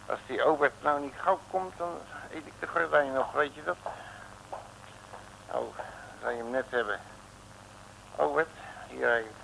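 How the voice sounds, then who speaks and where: An actor playing a brash, wisecracking detective with blunt slang in a comic radio serial. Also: male voice, medium pitch, unhurried, creaky, pauses often, pushed and bright, a little hiss or hum, heavily acted, rough recording. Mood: impatient, hungry. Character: brash, streetwise Dutch detective, blustery and wisecracking. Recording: old 1950s radio recording.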